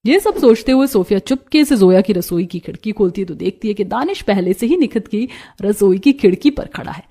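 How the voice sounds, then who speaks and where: A woman's voice: mid-range voice, lively intonation, fairly quick, mellow. A woman narrating a scripted cartoon story.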